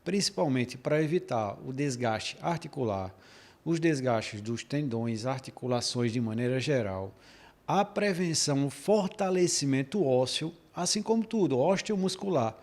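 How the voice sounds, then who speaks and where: A man's voice: mid-range voice, animated, a little slow, a little mellow. A male orthopedic doctor interviewed for the news, explaining and listing points.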